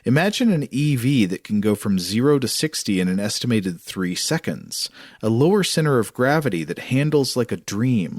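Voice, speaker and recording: A man's voice: medium pitch, a little mellow, dry recording. A male podcast co-host talking in a conversational way, close to the microphone. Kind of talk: casual chat, scripted ad reads and listener mail.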